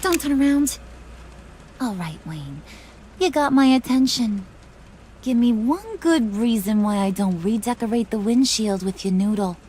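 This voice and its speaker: A woman's voice: on the high side, animated, a little slow, warm tone, slight background noise. A woman playing a playful, menacing young female villain in a video game, slangy and mock-sweet in her taunts. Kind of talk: video game character acting.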